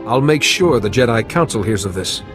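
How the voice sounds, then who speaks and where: A man playing a measured, earnest Jedi hero. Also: medium pitch, lively intonation, music in the background, lightly acted. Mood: reassuring. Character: calm, dutiful Jedi hero, adult man. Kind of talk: video game voice acting.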